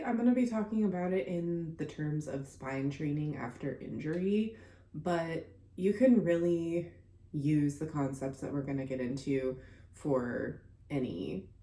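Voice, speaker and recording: Congested low-voiced woman podcast host. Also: dark tone, reverberant, a little hiss or hum. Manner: chatty, lots of fillers like "like" and "um". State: congested from a recent illness. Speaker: podcast host and contortion coach. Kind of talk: casual solo podcast monologue.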